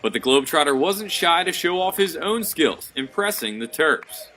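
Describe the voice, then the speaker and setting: A young man working as a student sports reporter, narrating scripted highlights and field reports. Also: lively intonation, normal speed, sharp tone. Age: young adult.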